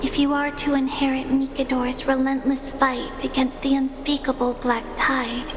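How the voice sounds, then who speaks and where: A woman voicing a solemn divine echo of a titan in a video game, speaking formally and gravely as she tests mortals. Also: high-pitched, flat delivery, normal speed, noisy background, natural voice. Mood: solemn, commanding. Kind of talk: scripted game voice acting. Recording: long reverb, music underneath.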